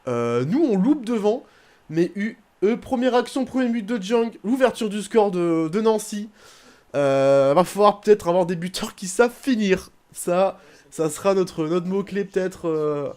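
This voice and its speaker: Young male gaming host, casual running commentary, chatty and excitable, a touch high-pitched. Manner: chatty, rambling, bursts into excited shouting at goals. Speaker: gaming YouTuber.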